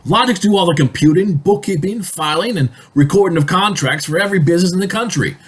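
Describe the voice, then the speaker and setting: Man playing a high-pitched, blustering company boss. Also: fast, breathy edge. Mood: dismissive, blustering. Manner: bossy, sputtering, emphatic. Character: blustering, excitable male company boss, in denial then panicking. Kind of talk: radio drama acting.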